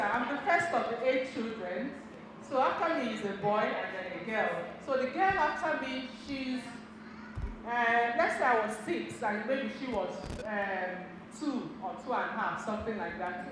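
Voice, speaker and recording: A middle-aged Igbo woman, telling stories and speaking directly to a seminar audience, laughing often. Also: a little high. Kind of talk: motivational talk to an audience. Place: community center hall. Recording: very echoey hall with noise.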